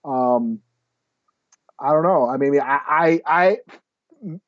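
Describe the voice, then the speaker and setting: A man co-hosting a podcast in casual, sweary chat, halting as he thinks aloud. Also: on the high side, no room sound, muffled recording. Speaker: podcast co-host.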